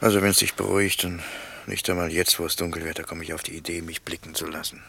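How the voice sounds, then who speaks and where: Gravelly, grumbling fugitive man. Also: sits low, level tone, fast, bright, forceful tone, slight background noise, exaggerated voice. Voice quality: gravelly, rough. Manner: colloquial, sardonic bickering. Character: scruffy, grumbling French prisoner of war on the run. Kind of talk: radio play dialogue.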